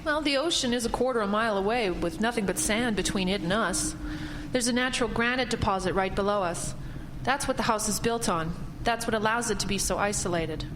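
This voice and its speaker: A woman's voice: medium pitch, a little fast, in a large hall, noisy background, natural voice. A woman acting in a radio drama as a polite, easily spooked house seller, hesitant and nervous in manner. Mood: informative.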